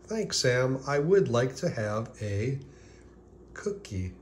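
A man voicing a kind, gentle young girl dragon from a children's storybook in an agreeable manner. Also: medium pitch, sing-song, takes it slowly, slight background noise. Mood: grateful. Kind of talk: children's storybook character reading.

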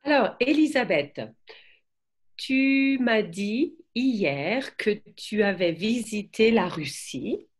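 A woman's voice: medium pitch, animated, slow pace, slightly rough. A middle-aged woman hosting a podcast over a video call, asking curious, encouraging questions from a room at home. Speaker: podcast host. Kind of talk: conversational interview.

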